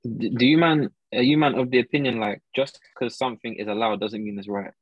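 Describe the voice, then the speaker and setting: A man's voice: mid-range voice, fairly even intonation, fairly quick, slightly rough, muffled recording. A young man in casual chat, questioning and pushing back in slang.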